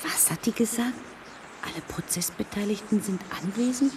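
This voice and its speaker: A woman's voice: mid-range voice, moderate pace, darkish tone, lightly acted. A woman playing a playful, dreamy companion in a naturalistic radio play, speaking in a familiar way. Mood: puzzled. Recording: very noisy ambience, birds and clicks.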